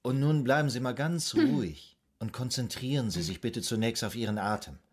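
An actor playing a calm, soothing psychoanalyst in a radio drama, guiding a patient in a measured, hypnotic, gently instructing way. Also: male voice, medium pitch, slightly rough. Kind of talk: German radio drama acting.

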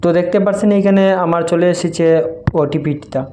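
A man walks listeners through a phone app. His voice is soft-edged and mellow, sitting a little high for a man. He instructs informally, repeating each step, over faint background noise. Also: a little fast. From Barak Valley, Assam, India. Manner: repetitive, instructional phrasing. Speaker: tutorial video creator.

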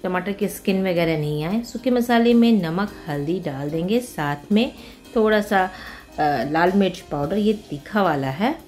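A woman's voice: medium pitch, animated, unhurried, darkish tone. A woman with an Indian accent narrating a recipe step by step as a cooking host, informally and with English words mixed in. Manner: mixes English words and phrases into her talk. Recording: music behind the voice. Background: background music.

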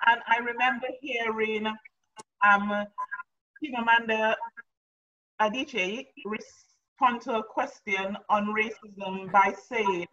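A woman from Dominica moderating a video call panel, speaking in a reflective, measured way with drawn-out emphasis. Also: a little high, steady tone, sharp tone. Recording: dull distant video call audio.

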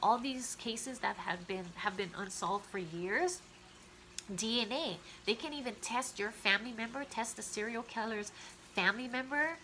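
A woman talks about a true crime case straight into her camera at home. Her voice is bright and a little stuffy from congestion. A faint hum of the room sits behind her. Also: a little high, normal speed, poor audio. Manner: chatty, opinionated, talks straight to viewers. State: stuffy nose. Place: living room at home, indoors. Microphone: camera close in front of her.

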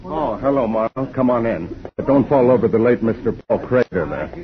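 A man's voice: mid-range voice, brisk, crowd noise, natural voice. An actor playing a matter-of-fact male police officer at a crime scene in a 1950s radio drama. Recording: muffled 1950 radio broadcast.

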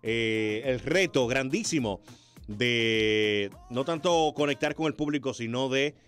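A man with a Dominican accent hosting a casual urban music interview on radio, effusive and quick to exclaim. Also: medium pitch, a bit bright. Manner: effusive, frequent exclamations of wow. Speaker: radio host. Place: small radio studio. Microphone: close studio microphone with headphones.

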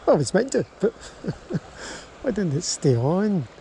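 A man with a slightly high, creaky voice gives casual first-person fishing commentary at a slow pace. He murmurs along and breaks into exclamations. It is recorded on an action camera outdoors, with clear river noise around him. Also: sing-song, mellow. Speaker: angler and vlogger. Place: outdoors, wading in a small river. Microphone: body-worn action camera. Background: running river water.

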